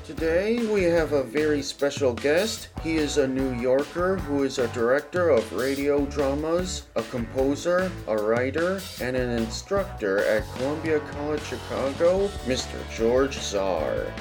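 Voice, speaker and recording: A man's voice: mid-range voice, expressive, unhurried, few pauses, over music. A man hosting a podcast, introducing his guest and politely reading out prepared interview questions.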